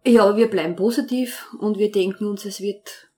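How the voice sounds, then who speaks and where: A woman's voice: mid-range voice, normal speed, dark tone. A woman who runs the interview, asking questions in an encouraging, upbeat way. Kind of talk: casual interview.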